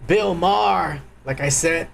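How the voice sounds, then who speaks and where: A man with a high, gravelly voice hosts a live stream. He rants with crude, angry profanity, and a faint hiss sits under his voice. His talk is loose and heated political commentary. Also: measured pace, a bit bright.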